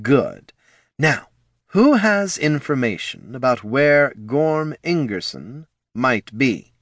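A man's voice: mid-range voice, big pitch swings, slow pace, no room sound, clean studio sound, pitched above the performer's own voice, heavily acted. A man voicing a tense, commanding male crime boss who speaks in a stern, clipped growl, in an audiobook. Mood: impatient.

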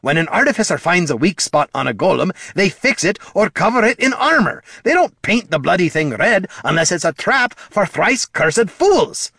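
A man voicing a gruff, irritable dwarf warrior in a fantasy audiobook, barking and snapping in salty rustic speech with a growl. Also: medium pitch, normal speed, fairly bright tone, no echo, clean studio sound, pitched above the performer's own voice. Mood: furious, scolding.

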